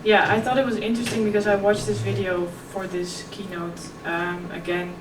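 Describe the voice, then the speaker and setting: A woman's voice: mid-range voice, level tone, normal speed. A young woman giving a keynote talk, stuttering openly and speaking casually with many fillers. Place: large conference hall. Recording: echoey hall, distant mic, audience noise.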